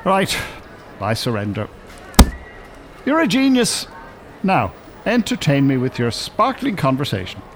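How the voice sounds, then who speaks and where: A man's voice: medium pitch, huge pitch swings, a little slow, long pauses. An actor playing a bookish, irritable older man in a radio drama, articulate, polite and drily sarcastic. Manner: polite, dry, exasperated, articulate. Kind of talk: naturalistic radio drama acting. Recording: urban ambience, faint background noise. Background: shopping centre ambience.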